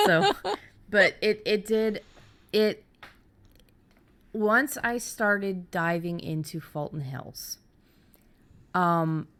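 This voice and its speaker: A middle-aged woman host talking casually and candidly about her own experience, haltingly, as if thinking aloud. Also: medium pitch, animated, a bit bright. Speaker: podcast host and fiction writer. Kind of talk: casual conversational storytelling.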